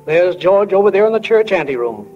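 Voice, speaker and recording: High-voiced, folksy small-town narrator. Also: male voice, sing-song, a little fast, a little mellow. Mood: folksy musing. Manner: homespun, plainspoken. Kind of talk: 1940s radio drama narration. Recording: dull 1940 radio recording, faint music.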